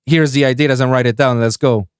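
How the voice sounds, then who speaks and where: A man's voice: medium pitch, steady tone, a little fast, clean tone, no echo, studio quality. A man from Puerto Rico chatting casually as a podcast host, speaking informally and in slang. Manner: informal, says man and bro often. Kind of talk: casual chat.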